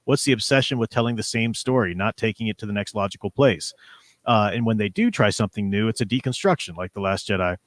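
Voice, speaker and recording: A man's voice: lowish voice, expressive, quick pace, dry recording, clean studio sound. A man hosting a live stream, talking casually in an enthusiastic, opinionated, rambling way and reading out viewer comments.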